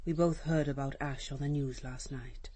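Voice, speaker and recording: An actress playing a defensive, troubled sister in a radio drama. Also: female voice, very deep voice, fairly even intonation, moderate pace, mellow, slight background noise. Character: defensive, troubled sister protecting her brother.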